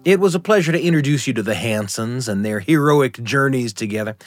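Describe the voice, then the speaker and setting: An American man hosting a storytelling radio show, narrating earnestly from a script with dramatic pauses. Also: medium pitch, lively intonation, faint music behind. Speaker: radio host.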